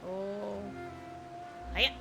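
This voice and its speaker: A woman game streamer with a medium-pitched voice talks casually to her viewers. She drops playful asides as she plays. Game music is clearly audible behind her. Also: drawn-out words. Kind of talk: casual livestream commentary. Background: video game music.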